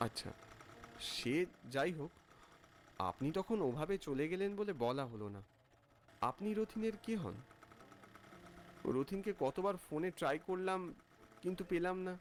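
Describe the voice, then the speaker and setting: A man's voice: high voice, a little slow, pauses often, slight background noise. A man playing an anxious, impatient saree trader who narrates his night journey in a cartoon story. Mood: uneasy, curious. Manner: fretful, expressive. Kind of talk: dramatised first-person story narration.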